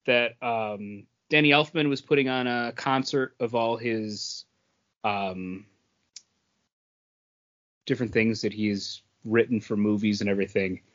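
A man co-hosting a podcast, chatting casually about entertainment news in a halting, hesitant way. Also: fairly low pitch, fairly even intonation, a bit bright, muffled recording. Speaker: podcast co-host. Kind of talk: casual chat about movie and comics news.

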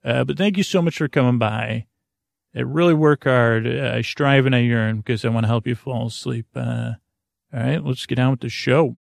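Creaky-voiced man rambling through a bedtime podcast. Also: mid-range voice. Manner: meandering, halting, repetitive, trailing into tangents. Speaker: podcast host.

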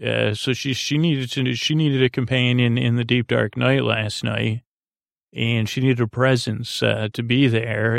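A tired man hosting a sleep podcast, giving a meandering, halting bedtime monologue in which he often repeats words. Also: medium pitch, gravelly, dry recording, studio quality. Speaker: podcast host. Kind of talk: rambling bedtime monologue to help listeners sleep.

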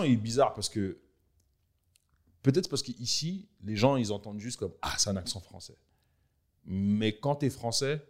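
A man's voice: fairly low pitch, dark tone. Laid-back man with a French accent. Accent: French from France. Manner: slangy, laid-back, laughs often. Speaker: comedian guest. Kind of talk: casual conversation.

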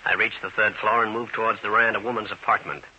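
An American actor playing a tough, brooding New York detective in an old-time radio drama, terse and world-weary. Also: male voice, lowish voice, fairly even intonation, fast talker, bright, forceful tone, breathy edge, full character voice. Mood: brooding narration. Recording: dull, hissy old radio recording.

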